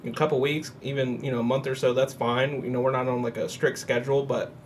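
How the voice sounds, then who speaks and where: A male podcast co-host in casual chat, eager and excitable, quick to laugh. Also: mid-range voice, a little hiss or hum. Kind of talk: casual chat and phone call.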